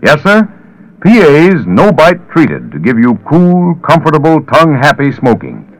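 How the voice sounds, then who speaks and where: A dry vintage radio recording of an American man delivering a 1940s commercial. His pitch swoops widely and his pace is slow, with folksy, persuasive salesmanship. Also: big pitch swings, no room sound, low-quality recording. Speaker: commercial announcer.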